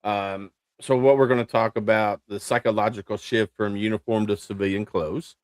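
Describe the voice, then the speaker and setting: A male podcast host with a slightly low voice, recorded close and dry with no room sound. He sounds upbeat and chatty as he walks through the topics, with plenty of fillers. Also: steady tone, moderate pace, a little mellow, no room sound. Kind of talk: casual hosting chat.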